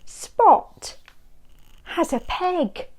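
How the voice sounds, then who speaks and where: A woman reads a picture book to little ones in a high, bright-toned voice that swoops up and down. She takes every word slowly and warmly drawn out, teasing with questions and dropping to a hush before counting to three. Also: big pitch swings. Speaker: storybook reader. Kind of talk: reading a picture book aloud to small children.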